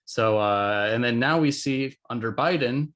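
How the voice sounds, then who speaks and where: A man hosting a casual podcast interview, speaking haltingly as he searches for words. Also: mid-range voice, a bit bright. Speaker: podcast host.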